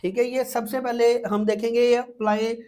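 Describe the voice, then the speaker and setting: A man's voice: very high-pitched, flat delivery, normal speed, warm tone. A man with a Pakistani accent giving an exam-prep lecture, explaining step by step as a teacher and often repeating points with little check-in words.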